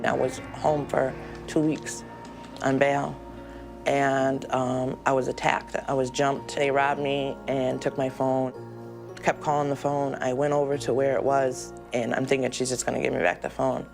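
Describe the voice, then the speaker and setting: A woman in her forties tells her story in a documentary interview with a gravelly, fry-laden voice. She hesitates often and sounds emotional. Soft music sits under her voice. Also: very deep voice, flat delivery. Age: 40s. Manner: emotional, halting with ums. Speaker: jail inmate awaiting trial.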